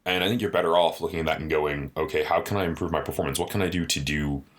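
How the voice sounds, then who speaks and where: A man with a deep voice co-hosting a podcast in a studio, talking earnestly in casual chat and often adding "you know" and "right?". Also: fairly even intonation, quick pace. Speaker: podcast co-host.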